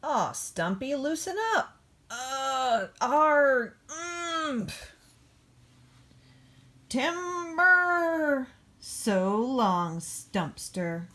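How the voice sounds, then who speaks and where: An eager storybook backhoe loader, proud of its dirty work, as a woman reads it out. The delivery is playful and emphatic, very slow and deliberate, with words set well apart. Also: sits high, expressive, bright, forceful tone, slight background noise, voice pushed up, exaggerated voice. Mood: straining, determined. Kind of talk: picture-book read-aloud.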